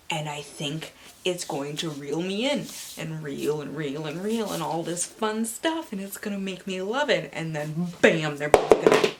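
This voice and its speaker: A North American woman hosting a book vlog in a playful, theatrical manner, mixing casual chat with dramatic readings and asides. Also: on the low side. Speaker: book channel host. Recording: clearly audible background hiss.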